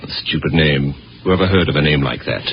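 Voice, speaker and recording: An actor playing a pompous, dismissive husband in a vintage radio science fiction drama. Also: male voice, extremely low pitch, a little fast. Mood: scornful. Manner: pompous, scornful. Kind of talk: 1950s American radio acting. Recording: muffled vintage radio recording, faint noise.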